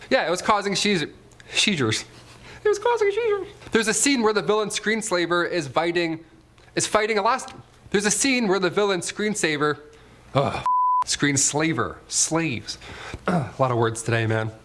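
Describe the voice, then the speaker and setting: A man's voice: high-pitched, big pitch swings, unhurried, pauses often, fairly bright tone, a little hiss or hum. A man hosting a top ten list, mixing scripted countdown narration with casual, joking, sarcastic asides. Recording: music bed behind the voice. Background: background music.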